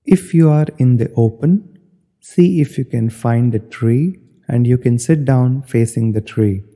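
A man guiding a meditation as an instructor, giving measured step-by-step directions in short phrases. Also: mid-range voice, dark tone. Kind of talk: guided meditation narration. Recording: long hall-like reverb.

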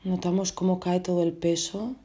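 A woman guiding a meditation, giving gentle, unhurried instructions in short phrases with pauses between them. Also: fairly low pitch, fairly even intonation. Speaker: meditation guide. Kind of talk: guided meditation.

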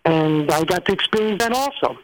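A man's voice: sits high, lively intonation, fairly quick, a bit bright, no room sound, poor audio. Rambling New man. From New York. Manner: rambling, many fillers and restarts. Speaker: guest. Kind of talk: casual storytelling interview.

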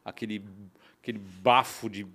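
A middle-aged man from São Paulo, telling his story as a guest in a casual conversation, animated and often restarting. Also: on the low side, moderate pace. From São Paulo, Brazil. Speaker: guest entrepreneur.

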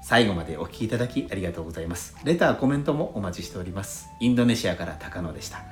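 A man's voice: low-pitched, lively intonation, racing. Courteous male podcaster, roomy sound. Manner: courteous, formal phrasing. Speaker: podcast host. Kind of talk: polite podcast chat reading listener letters. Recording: roomy echo, faint background music. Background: soft lo-fi flute jazz music.

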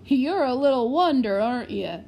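Woman playing a lively, excitable young boy. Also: high voice, moderate pace, gravelly, slight background noise. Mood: amazed. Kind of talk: children's storybook character voice.

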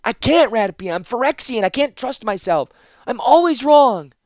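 A man's voice: very high-pitched, big pitch swings, moderate pace, dry recording, clean studio sound, pitched above the performer's own voice. A man voicing an anguished frightened woman warrior. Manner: shouting, anguished. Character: frightened woman warrior, self-doubting and torn.

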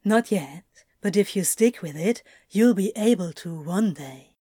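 A woman plays a relaxed, playful male yoga teacher in an audio story. The tone is soft and mellow, close and dry, with gentle encouragement and teasing reassurance. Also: mid-range voice, measured pace, slightly rough, no echo. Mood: patient, instructing. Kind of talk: audio fiction character acting.

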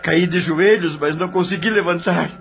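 A man's voice: a little high, fairly even intonation, moderate pace, gravelly, a bit bright, faint music behind. An actor playing an anguished dead rock star who narrates his own suffering dramatically in a radio drama.

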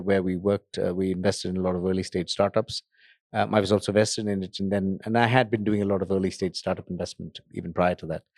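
A man's voice: on the low side, a little mellow, no room sound, clean studio sound. A middle-aged man talking casually as a podcast guest, rambling with many restarts. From grew up in Tanzania and Nigeria. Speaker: podcast guest, data center company founder. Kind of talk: casual interview talk.

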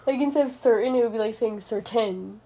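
A woman's voice: a little high, brisk, mellow, slightly rough. Chatty young woman, "like"-heavy talk. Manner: chatty, upbeat, lots of "like". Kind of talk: casual chat. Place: bedroom.

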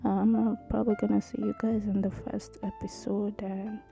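A woman in her thirties with a tiny, soft voice hosting her own podcast, talking hesitantly with many ums. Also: medium pitch, flat delivery, normal speed, gravelly, faint music behind. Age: 30s. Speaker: podcast host. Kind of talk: casual solo podcast intro.

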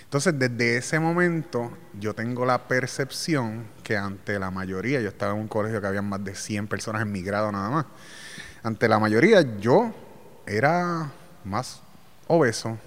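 A man's voice: on the low side, normal speed, in a large hall. A man hosting a casual interview, chatty and laughing often, mixing in English words. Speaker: podcast host.

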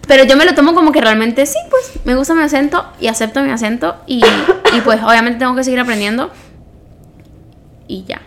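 A young adult woman with a fairly high voice and a Venezuelan Spanish accent. She talks as a podcast co-host in an informal, conversational way, reworking her sentences as she tells personal stories. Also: a little fast, heavy background noise. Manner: informal, self-correcting storytelling. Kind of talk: casual personal chat.

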